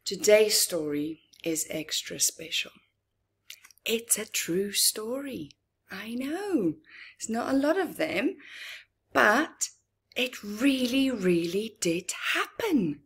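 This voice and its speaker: A middle-aged woman reading a story aloud to young children, expressively, with dramatic pauses and chatty asides. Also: mid-range voice, sing-song, a bit bright, slightly rough. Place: living room, indoors.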